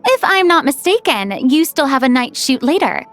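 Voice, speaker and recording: A woman voicing a theatrical, generous young film director with a breezy, expressive manner in a video game. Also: high voice, normal speed, soft background music. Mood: relieved, friendly.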